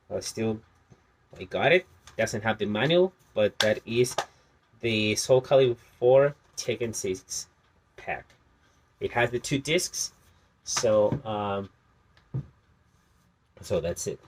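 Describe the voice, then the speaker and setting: A man's voice: mid-range voice, slight background noise. Hesitant man, Spanish native speaker. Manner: hesitant, many fillers and restarts. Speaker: channel host. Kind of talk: casual game collection vlog.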